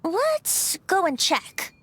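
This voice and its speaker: Playful, high, lilting game heroine. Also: female voice, sing-song, drawn-out words, fairly bright tone, faint music behind, heavily acted. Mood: musing. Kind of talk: anime-style video game voice acting.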